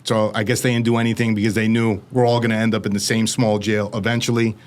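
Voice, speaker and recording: A younger man from the United States, telling his story as an interview guest in fast, animated casual talk, slipping in quoted voices. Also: on the low side.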